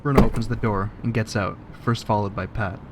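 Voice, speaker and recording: Guy narrating a scripted story, kinda low and flat, noisy background. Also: a little fast. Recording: noisy backdrop of vehicle sounds.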